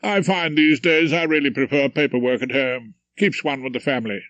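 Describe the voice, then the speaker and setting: A prim young barrister, as a male reader puts him on, with a grudging, clipped manner. His voice is pushed up higher than the reader's own. It is a dry, close storybook reading. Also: brisk, a bit bright, dry recording, highs cut off, lightly acted.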